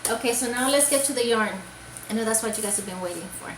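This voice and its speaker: A woman's voice: fairly high pitch, quick pace, echoey room, noisy background. A woman from Puerto Rico chatting casually about crafts for her video, rambling with many fillers and restarts. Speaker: crochet vlogger. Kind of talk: casual show-and-tell chat.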